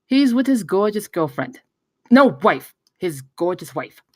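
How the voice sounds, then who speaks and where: Woman playing brash storytelling pitchman, lively delivery. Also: unhurried, no echo, studio quality. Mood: excited storytelling. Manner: emphatic, profane, conversational storytelling. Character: brash, excitable male pitchman spinning a campfire tale. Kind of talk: table read acting.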